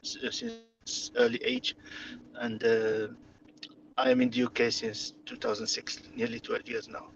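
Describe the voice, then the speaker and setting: A man's voice: medium pitch, very animated, measured pace, creaky, highs cut off, noisy background. A man from Morocco whose first language is Arabic, speaking hesitantly with many fillers and restarts as an interview guest. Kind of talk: conversational interview.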